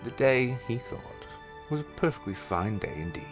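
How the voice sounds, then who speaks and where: A man's voice: mid-range voice, animated, normal speed, over music. A man narrating a scripted story in the third person.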